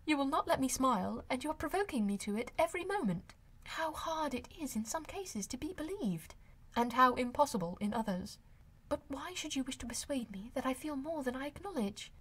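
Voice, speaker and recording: A woman voicing a spirited, witty young woman in an audiobook of a classic novel, with pointed, expressive delivery. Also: sits high, brisk, voice pushed up. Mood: teasing.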